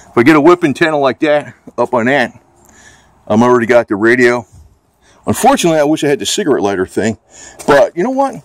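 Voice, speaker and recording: A man's voice: medium pitch, no echo. An American man talking casually and off the cuff as he reviews a product, rambling and plain-spoken with some mild swearing. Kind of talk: casual unscripted product chat.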